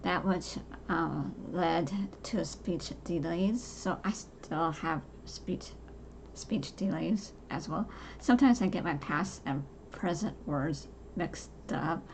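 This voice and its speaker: Woman in her forties, soft low gravelly voice, halting personal chat, faint noise behind. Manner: halting, many fillers and restarts. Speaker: podcast host. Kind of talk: casual personal talk to camera.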